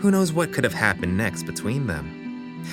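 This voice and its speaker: Teasing male romance narrator, low-pitched, very animated delivery, guitar music clearly underneath. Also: normal speed. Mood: wry, dramatic. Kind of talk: dramatic scripted narration. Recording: plucked guitar music behind.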